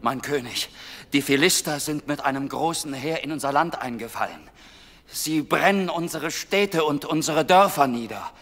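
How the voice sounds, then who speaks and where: A man's voice: a little high, animated, normal speed, fairly bright tone, long reverb tail. A man playing an alarmed, insistent royal messenger in a radio play, speaking urgently. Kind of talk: dramatic radio play acting.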